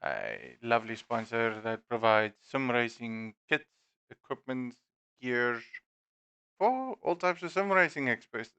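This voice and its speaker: Middle-aged man, Dutch accent, relaxed sim-racing stream host, bright clear voice, dry, slightly dull headset sound. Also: mid-range voice, no room sound. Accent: Dutch-accented English. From South Africa. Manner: self-deprecating, unhurried, many fillers. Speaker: stream host and league admin. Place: home room at a desk. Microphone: gaming headset.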